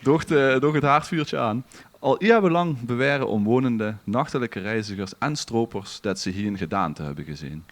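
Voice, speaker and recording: A man's voice: mid-range voice, expressive, normal speed, few pauses. A man with a Limburgish Dutch accent telling a scripted story in a theatrical way as a podcast co-host. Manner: dramatic, bantering with co-hosts.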